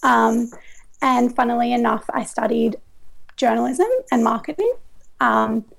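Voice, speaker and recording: Young Australian woman, high-pitched with heavy vocal fry and a raspy voice, rambling guest talk full of ums. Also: a little slow, rough recording. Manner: rambling, many ums and likes. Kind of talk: casual interview conversation.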